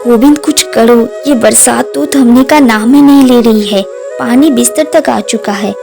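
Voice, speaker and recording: A woman voicing a poor farmer's wife in a storybook cartoon, expressive and urging. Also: fairly high pitch, measured pace, creaky, soft background music. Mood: worried.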